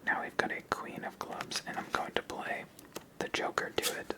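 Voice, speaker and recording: An ASMR host whispering commentary while playing through a tabletop card game. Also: normal speed.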